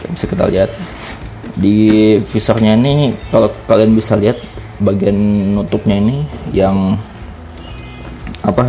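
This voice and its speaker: A man's voice: lowish voice, warm tone, a little hiss or hum. A man with a Jakarta Indonesian accent giving a casual product review, explaining things informally step by step as a vlogger.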